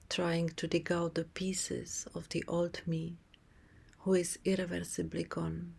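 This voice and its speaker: Hushed, slow woman guiding relaxation. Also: on the low side. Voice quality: soft, breathy half-whisper. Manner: slow, hushed, soothing, with long pauses. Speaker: yoga nidra teacher. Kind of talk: guided relaxation meditation. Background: music.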